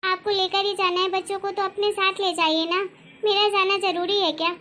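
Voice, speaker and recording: A woman voicing a worried, soft-hearted housewife and mother in a cartoon family drama, talking in a fretful, plaintive way. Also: extremely high pitch, flat delivery, fairly quick. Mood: irritated.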